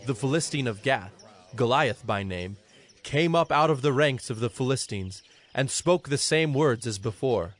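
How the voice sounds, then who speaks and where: A man narrating scripture from a script in a measured, formal manner. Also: mid-range voice.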